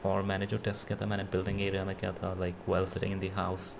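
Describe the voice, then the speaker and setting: Low, gravelly Indian man reviewing gadgets. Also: monotone, noisy background. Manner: halting, self-correcting. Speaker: product reviewer. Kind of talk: unscripted gadget review, mixing Hindi with English.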